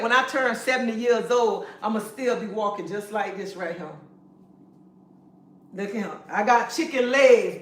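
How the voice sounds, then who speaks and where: A woman's voice: on the high side, fairly bright tone. Sassy woman, livestream pep talk, preachy and direct, echoey room. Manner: sassy, preachy, calls listeners honey and baby.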